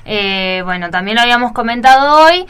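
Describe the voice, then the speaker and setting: Bright-voiced Argentine female radio host. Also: fairly high pitch, lively intonation, fairly quick, clear, resonant tone. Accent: Argentine Spanish. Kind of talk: casual interviewing. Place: radio studio. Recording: faint studio background noise.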